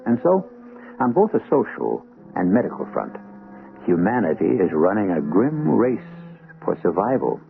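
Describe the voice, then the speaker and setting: A male radio mystery host delivers a solemn, theatrical introduction with a gravelly, lowish voice. His pitch rises and falls dramatically. The sound is a tinny old radio broadcast with music behind. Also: huge pitch swings, moderate pace. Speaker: host and narrator.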